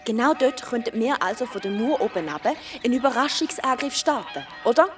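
A woman's voice: a little high, lively intonation, brisk, distant mic, music in the background, natural voice. A woman playing a bold, scheming young medieval peasant girl with a Swiss German accent, confident and bossy, in a children's radio play. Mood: plotting. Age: child figure.

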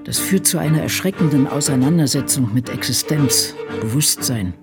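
Guy playing bitter old lady author muttering diary entries in a radio play, dry and clipped, pitch moves a lot, bit of music behind. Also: animated, moderate pace, natural voice. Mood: brooding. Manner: dry, brooding, clipped fragments, muttering to self. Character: embittered old woman writer, seventy, brooding and solitary. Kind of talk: radio drama diary monologue.

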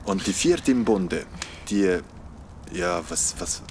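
A man's voice: low-pitched, slow, slight background noise. A man playing a wry, teasing young guy with a Swiss accent in an audio drama about friends. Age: young adult. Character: evasive, teasing young man among old school friends.

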